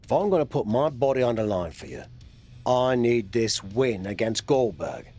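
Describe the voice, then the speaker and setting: A man's voice: mid-range voice, lively intonation, moderate pace, mellow, soft background music. A middle-aged man playing a proud, crafty, teasing veteran wrestler who coaches frankly in a video game cutscene. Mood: determined, persuading. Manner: frank, coaxing, wry.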